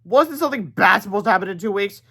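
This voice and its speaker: Man doing a little schoolboy in a kids book, high pitched, impatient, earnest and easily worked up. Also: lively intonation, fast, gravelly, bright, forceful tone, breathy edge, heavily acted. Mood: indignant. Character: excitable, impatient young schoolboys, easily alarmed and indignant.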